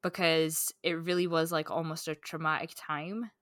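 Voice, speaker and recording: A woman's voice: low-pitched, flat delivery, moderate pace, fairly bright tone, dry recording, studio quality. A woman co-hosting a podcast, talking in a casual, candid way about books. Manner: candid, informal. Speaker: podcast co-host. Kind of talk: casual book discussion chat.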